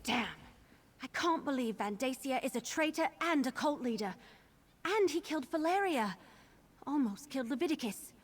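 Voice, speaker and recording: An actress playing a determined young adventurer in a video game, speaking in an earnest, emphatic, fiery way. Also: female voice, high voice, expressive, normal speed. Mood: angry, vowing. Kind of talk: video game character dialogue.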